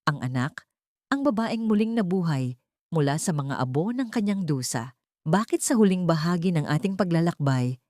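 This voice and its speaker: A Filipino woman narrates a scripted drama in a fairly low voice. Her delivery is measured, sentimental and literary, and the sound is very dry, with no room echo. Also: dark tone. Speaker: story narrator.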